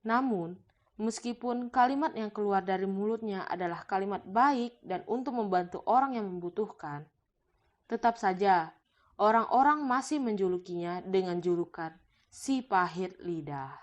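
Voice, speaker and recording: A woman's voice: mid-range voice, moderate pace, muffled recording. A woman reading a scripted folk tale as the storyteller. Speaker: storyteller narrator.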